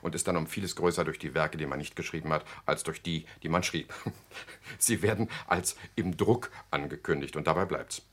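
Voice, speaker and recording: An actor playing a cynical, worldly journalist and jovial schemer in a radio drama, sardonic and theatrical. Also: male voice, a bass voice, moderate pace.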